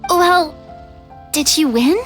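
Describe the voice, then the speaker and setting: A very high, childlike game sprite, small and curious. She speaks at an unhurried, very slow pace over prominent music. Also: a bit bright. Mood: bemused, curious. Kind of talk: video game voice acting. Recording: music behind.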